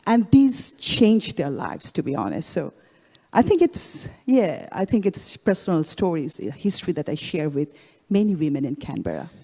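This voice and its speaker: A woman from Bangladesh, speaking earnestly and haltingly as an award recipient in an onstage interview. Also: low voice, big pitch swings, creaky, warm tone, breathy edge. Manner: earnest, halting, repeats phrases. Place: large event hall. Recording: long hall reverb.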